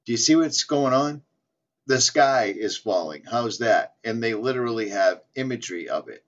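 A man's voice: medium pitch, lively intonation, moderate pace, a bit bright. A man rambling insistently in a casual monologue, repeating himself and stumbling as he reads aloud. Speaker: flat earth video maker. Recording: dull lo-fi audio.

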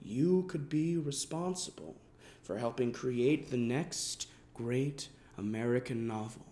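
A man's voice: medium pitch, natural voice. Pompous, melodramatic man acting an amateur screenwriter. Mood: hushed, solemn. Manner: pompous, overwrought, swinging from hushed to shouted, dramatic pauses. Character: self-important, deluded amateur screenwriter pleading earnestly for help. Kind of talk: melodramatic dramatic reading of an email. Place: indoors in a wood-panelled room.

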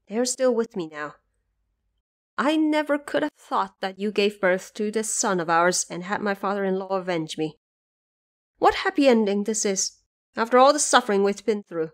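A woman narrator voicing a gentle, grateful scholar husband in an audio drama, speaking earnestly and formally. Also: medium pitch, natural voice. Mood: relieved, grateful.

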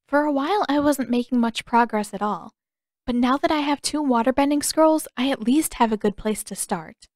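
A woman's voice: high voice, normal speed, a little mellow, no echo, clean studio sound, voice pushed up, close to an everyday voice. A woman voicing a spirited, defensive teenage girl in an audio story, earnest and a bit testy.

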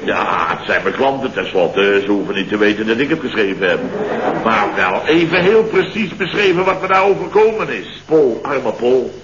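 A man's voice: mid-range voice, lively intonation, moderate pace, lightly acted. An actor playing an exasperated, easily flustered office boss in a radio comedy from around 1970, sputtering and repeating his words. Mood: rueful. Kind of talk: 1970s Dutch radio comedy acting. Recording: dull, noisy, roomy old radio recording.

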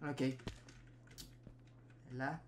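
A young man giving casual gameplay commentary, muttering and reacting in short bursts. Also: a little hiss or hum. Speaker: gaming streamer. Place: small room indoors at home. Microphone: desk USB microphone.

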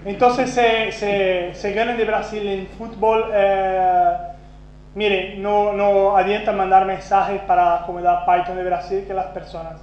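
Portuguese-speaking Brazilian man at a conference, high-pitched, self-deprecating, many restarts, echoey room. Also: level tone, measured pace, a little mellow. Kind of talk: conference talk. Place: conference hall. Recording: roomy, dull lo-fi sound with faint hum.

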